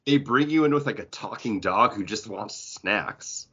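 Male co-host, lower register, jokey and mock-outraged, conversational, muffled lo-fi recording. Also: animated, measured pace, pushed and bright, husky. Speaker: podcast co-host. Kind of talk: casual banter.

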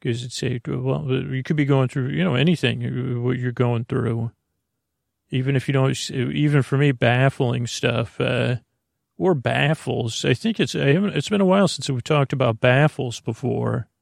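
A man with a medium-pitched, heavily creaky voice delivers a bedtime podcast monologue. His manner is soothing and meandering, with stammers and sentences that break off and restart. The sound is very dry, with no room echo. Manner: soothing, meandering, halting with frequent stammers and self-interruptions. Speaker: podcast host.

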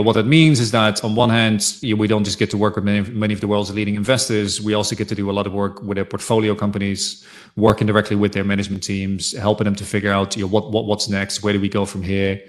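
A middle-aged man talks as an interview guest. His voice is deep and his intonation stays level. He speaks conversationally, in halting phrases padded with filler expressions. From Netherlands. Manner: halting, peppered with "you know". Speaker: interview guest, fintech consultant. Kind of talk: conversational career account.

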